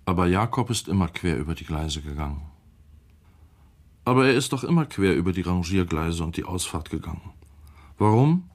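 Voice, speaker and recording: Man, North German accent, lowish voice, audiobook reader, literary prose reading. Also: sing-song, moderate pace, pauses often.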